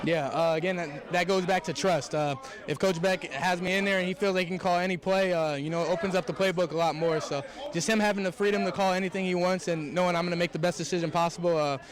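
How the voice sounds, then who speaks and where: A man's voice: sits high, steady tone, quick pace, crowd noise. A young man, a college football quarterback, answering questions in a press interview. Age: young adult.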